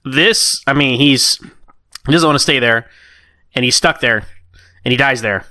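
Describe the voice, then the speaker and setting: Guy with a bright voice, dry close sound, casual podcast cohost, skeptical, kinda halting. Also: mid-range voice, no echo. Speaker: podcast co-host. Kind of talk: casual review discussion.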